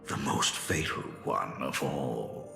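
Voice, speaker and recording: An actor playing a sly, menacing game villain posing as someone's father, with theatrical, taunting, drawn-out delivery. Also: very deep voice, creaky, full character voice. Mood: gloating menace. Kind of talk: dramatic video game acting. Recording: echoey hall reverb. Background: music.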